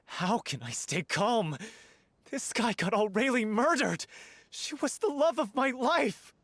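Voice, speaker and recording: An actor playing a devoted young male stage performer, earnest and prone to furious outbursts, with a slightly husky voice. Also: extremely high pitch, expressive, unhurried, no room sound, full character voice. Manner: earnest, then shouting accusations. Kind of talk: game voice acting.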